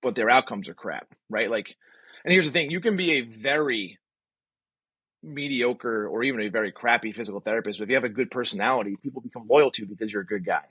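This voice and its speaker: A man in his forties speaks as a podcast guest in a casual, emphatic way. His voice is bright and slightly rough, and he talks quickly. The sound is close and dry. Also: medium pitch, dry recording, lo-fi sound. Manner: opinionated, emphatic, uses rhetorical questions. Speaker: podcast guest, physical therapist.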